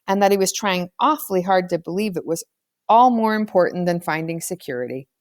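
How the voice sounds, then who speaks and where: A woman narrating a children's novel aloud as an expressive storyteller. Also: on the low side, dry recording, clean studio sound. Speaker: audiobook reader.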